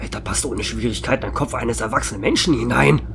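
A man's voice: mid-range voice, quick pace, creaky, background noise, lightly acted. A man acting a grim, alarmed investigator in a horror audio drama. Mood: grim unease. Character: alarmed male investigator examining a monster carcass. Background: eerie background music.

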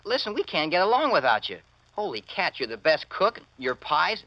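An actor with an American accent playing a cocky, slangy teenage son in a 1940s radio play. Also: male voice, on the high side, sing-song, normal speed, no echo, muffled recording. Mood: pleading. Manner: brash, slangy.

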